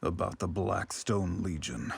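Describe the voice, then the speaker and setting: An actor playing a battle-hardened mercenary knight in a video game, speaking tersely and gravely. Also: male voice, low-pitched, expressive, unhurried, exaggerated voice. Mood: grave, reflective. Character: battle-hardened mercenary turned knight, recounting his own story. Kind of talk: scripted game narration and dialogue.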